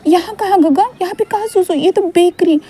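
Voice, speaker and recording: A woman's voice: extremely high pitch, moderate pace, dark tone, pitched above the performer's own voice. A woman voicing a childlike, clingy young man who talks in a fussy, whining, pleading way.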